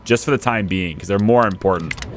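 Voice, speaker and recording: A young man hosting a let's play, chatting casually as he plays and talking to his units, a little hoarse from losing his voice. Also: on the low side, brisk, a little hiss or hum. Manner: chatty, thinks aloud, talks to his units. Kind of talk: casual gameplay commentary.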